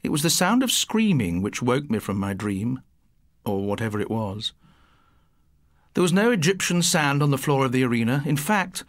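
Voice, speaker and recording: A man reading a first-person story aloud as an audiobook narrator, theatrical and full of suspense. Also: fairly high pitch.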